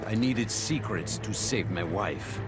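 Low-voiced, icy scientist supervillain. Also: male voice, animated. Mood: cold, menacing. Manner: formal, measured, stern. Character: cold, grieving, obsessive male scientist supervillain. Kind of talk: video game character dialogue. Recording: loud music behind.

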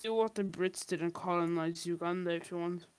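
A woman's voice: lowish voice, fairly even intonation, a little fast, clean tone, dry recording. A woman teasing her friends in casual chat on a game stream. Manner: teasing, dry.

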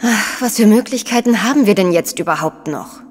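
An actress playing an anxious but cheeky female journalist in a crime audio drama, expressive and agitated. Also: medium pitch, a little fast, slight background noise, close to an everyday voice. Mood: hushed, worried. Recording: reverberant room sound.

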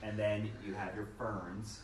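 Man, low voice, offhand casual chat, echoey covered patio, noisy outdoor background. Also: little pitch movement, measured pace. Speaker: vlogger's husband.

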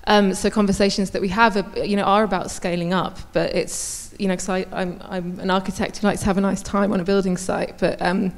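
A woman's voice: mid-range voice, flat delivery, a little fast, hardly any pauses. A woman in her thirties, an architect on a conference panel, speaking conversationally into a handheld mic. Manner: conversational, many fillers and restarts. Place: large conference hall on stage. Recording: long reverb of a large hall.

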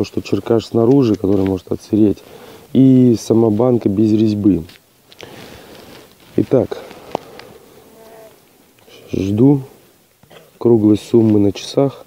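A man with a hoarse voice from a cold, testing gear outdoors in a plain, matter-of-fact way with hesitant fillers. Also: lowish voice, warm tone. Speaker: outdoor channel host. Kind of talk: casual outdoor gear test. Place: outdoors on a rocky hillside.

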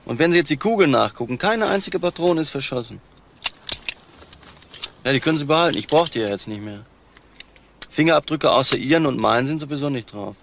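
An actor playing an edgy, street-smart burglar in a radio crime drama, talking in a blunt, wary, colloquial way. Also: male voice, a little high, animated, a little fast, gravelly, pauses often, noisy background. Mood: confessional. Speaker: petty criminal.

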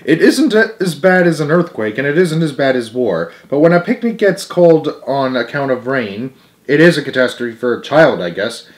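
A young man voicing a stern, brisk female first-grade teacher in a storybook, with emphatic classroom commands. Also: medium pitch, animated, natural voice. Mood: musing.

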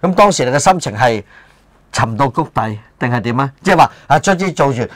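A man's voice: medium pitch, lively intonation, fairly quick. A middle-aged or older man with a Hong Kong accent, casually prompting and agreeing as a talk show co-host. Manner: echoes and affirms the other speaker. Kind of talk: casual conversational interviewing. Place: small book-lined room, indoors.

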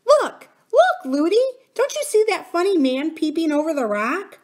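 A woman voicing a little princess, curious and excitable, who chatters eagerly and breathlessly in a storybook reading. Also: high-pitched, measured pace, voice pushed up, exaggerated voice. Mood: excited.

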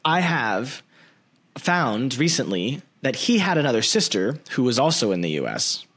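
A man in his thirties hosting a podcast, talking in an animated way into a large studio mic in a small room at home. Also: mid-range voice. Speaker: podcast host. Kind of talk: casual interview talk. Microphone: large studio mic with headphones.

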